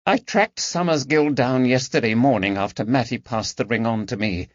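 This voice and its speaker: A man's voice: medium pitch, moderate pace, no room sound, highs cut off, voice pushed up, lightly acted. A man voicing a frightened, resentful young swindler in an audiobook, defensive and pleading. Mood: pleading, aggrieved. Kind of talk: audiobook character dialogue.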